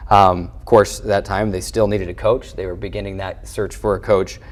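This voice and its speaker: A man's voice: low voice, level tone, normal speed. A man hosting a conversational sports interview, asking wordy, meandering questions. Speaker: show host and interviewer.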